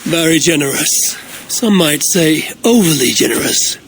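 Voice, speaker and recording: An actor playing a shrewd Viking clan leader, dry and guarded. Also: male voice, on the high side, expressive, unhurried, a bit bright, slight background noise, rough recording. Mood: wry. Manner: dry, wary.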